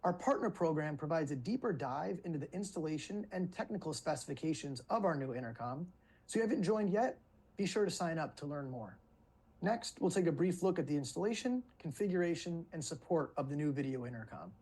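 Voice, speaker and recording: A man with a slightly husky voice gives a scripted product presentation in a webinar. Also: on the high side, lively intonation, a little fast, darkish tone, rough recording. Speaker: company presenter.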